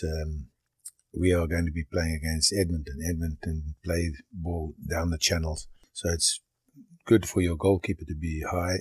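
A man's voice: sits low, slightly rough, no room sound. An older man who grew up in Zimbabwe, a goalkeeper coach answering interview questions and explaining his training step by step. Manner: explains step by step, plain and practical.

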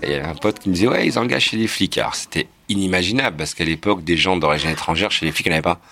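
A man telling his own story in a relaxed, casual way for a documentary interview. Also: low-pitched, rapid-fire, creaky. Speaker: playwright and director.

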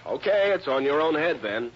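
A man's voice: a little high, expressive, moderate pace, close to an everyday voice. An American man playing a chummy, grumbling married insurance man in a vintage radio drama, with a folksy, familiar way of talking. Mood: doubtful. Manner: folksy, familiar, hesitant asides. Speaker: radio actor in a supporting part. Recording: muffled, noisy old radio broadcast.

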